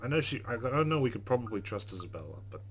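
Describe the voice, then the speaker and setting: A man reading visual novel narration aloud as a let's player, measured and reflective, with music playing behind him. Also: medium pitch, very animated, a bit bright.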